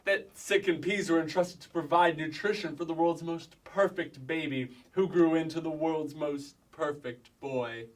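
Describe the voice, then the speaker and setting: A man's voice: a little high, fairly even intonation, moderate pace, hardly any pauses, close to an everyday voice. Melodramatic, easily horrified kindergarten boy, adult male actor, ornate wording, stage comedy, recorded from afar. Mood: reverent. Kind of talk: staged sketch comedy reading. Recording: distant stage mic.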